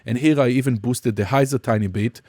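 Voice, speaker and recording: A man teaching music production in a casual software demonstration, explaining informally and somewhat hesitantly step by step. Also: medium pitch, a little fast, no echo, studio quality. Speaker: music production tutorial instructor.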